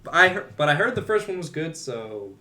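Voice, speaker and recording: A man's voice: a little high, big pitch swings, fairly quick, sharp tone. Casual male podcaster, conversational and opinionated. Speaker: podcast co-host. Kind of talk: casual movie discussion.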